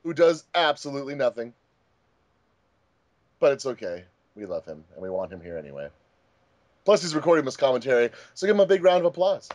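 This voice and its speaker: Male commentary host, mid-pitched, jokey and conversational, recorded dry. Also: sing-song, brisk, long pauses, darkish tone, no echo. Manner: chatty, jokey. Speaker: host, fan dub director. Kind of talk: casual commentary track.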